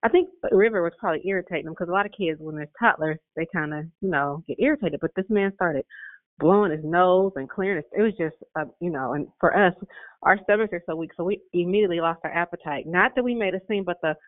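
A woman's voice: on the low side, quick pace, no room sound. Earnest woman in her fifties on a phone. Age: 50s. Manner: earnest, rambling, repeats words. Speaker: caller. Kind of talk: casual testimony on a call-in prayer line. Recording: tinny phone line.

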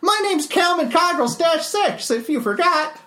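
A man's voice: very high-pitched, lively intonation, measured pace, crowd noise, voice pushed up. A man voicing a hiccuping little male Modron construct, cheerful and naive, in a tabletop game, recorded with a roomy echo. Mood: chirpy.

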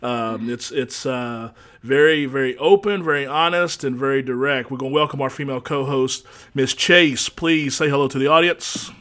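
A man's voice: medium pitch, normal speed, fairly bright tone. Easygoing male talk show host. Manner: easygoing, joking, drawls y'all. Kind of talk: casual dating talk show.

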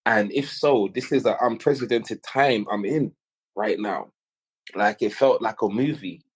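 A man's voice: on the low side, unhurried, breathy edge, low-quality recording. A man speaking casually as an interview guest, slangy and exclamatory, voicing his own thoughts aloud. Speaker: interview guest, music artist. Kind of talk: casual chat.

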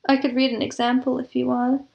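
A woman with a fairly high voice talks as a guest in an interview. She explains things in earnest detail, in a conversational way. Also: flat delivery, brisk, gravelly, muffled recording. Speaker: interview guest, audio drama producer and composer.

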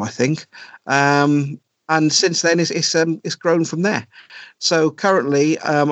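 Middle-aged man, podcast guest, halting delivery full of ums, dry muffled recording. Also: mid-range voice, no room sound. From South Wales. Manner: hesitant, many ums and restarts. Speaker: podcast guest, comic writer. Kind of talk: casual interview chat. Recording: dry, dull lo-fi sound.

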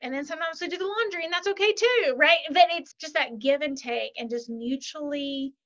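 A woman's voice: high voice, fairly quick. Upbeat woman guest on a lo-fi call. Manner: animated, upbeat, says "right?" often. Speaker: podcast guest, author. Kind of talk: casual interview chat. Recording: lo-fi muffled sound.

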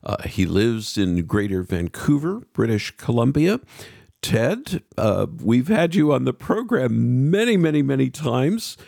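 Expressive male podcast host with gravelly edge. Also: medium pitch, measured pace, dry recording, studio quality. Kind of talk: scripted radio-style introduction and interview.

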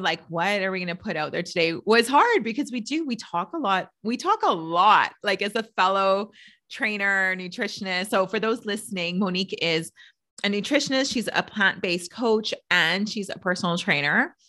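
A woman's voice: mid-range voice, animated, normal speed, sharp tone. A woman hosting a podcast, chatting casually with a guest, laughing often and filling her talk with "like". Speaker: podcast host, trainer.

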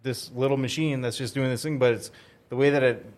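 A man's voice: medium pitch, fairly even intonation, a little fast, a little mellow. A man telling personal stories in casual conversation, informal and emphatic.

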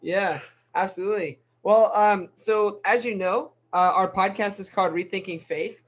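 A man's voice: sits high, moderate pace, husky. A man co-hosting a podcast, chatting and asking questions in a casual interview style. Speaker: podcast co-host.

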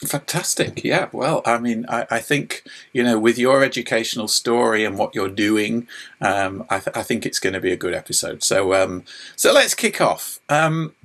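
A man's voice: mid-range voice, normal speed, gravelly, a bit bright. A man hosting a podcast interview, asking friendly, encouraging questions with some hesitation. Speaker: podcast host. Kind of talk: casual interview chat.

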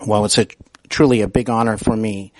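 A man hosting a podcast, speaking in a polite, somewhat halting way. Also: lowish voice, fairly even intonation, dry recording. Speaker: podcast host. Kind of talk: interview talk and show announcements.

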